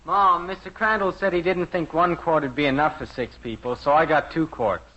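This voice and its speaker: A man's voice: fairly high pitch, fairly even intonation, normal speed, bright, forceful tone. A youthful, casual American teenage boy speaks in a breezy, offhand way. It is mid-century radio comedy acting with a slightly dull, aged sound. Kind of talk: 1950s radio sitcom acting. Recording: muffled vintage radio recording.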